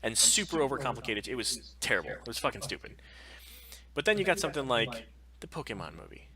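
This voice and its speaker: A man's voice: mid-range voice, sing-song, quick pace, sharp tone, rough recording. A man co-hosting a podcast live stream, chatting casually and bluntly with opinions and plenty of swearing.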